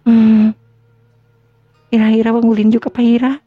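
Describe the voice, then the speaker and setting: Considerate young Sundanese-accented wife, acted by a woman, lightly high pitched, hesitant domestic radio-drama talk, dry lo-fi recording. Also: flat delivery, measured pace, husky, no echo. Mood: thoughtful. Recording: dull lo-fi recording with music.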